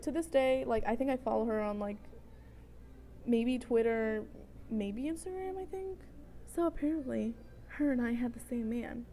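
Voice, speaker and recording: Higher-voiced young woman, chatty storyteller. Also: warm tone. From El Salvador. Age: 20s. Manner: chatty, tangent-prone, often checking in with "okay?". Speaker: vlogger telling personal stories. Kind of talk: casual storytime chat. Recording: noticeable noise, homemade vlog audio.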